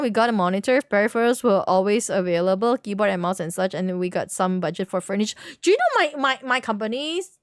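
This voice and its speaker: A woman's voice: medium pitch, dry recording, studio quality. A woman livestreamer chatting casually with her viewers, rambling along, repeating words and searching for terms. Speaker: game livestreamer.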